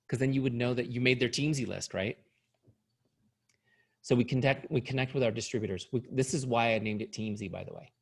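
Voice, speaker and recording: A man's voice: mid-range voice, gravelly. A middle-aged man giving a sales training talk, upbeat and coaching, who is starting to lose his voice. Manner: upbeat, coaching, asks "right?" often. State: losing his voice. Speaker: company founder and trainer.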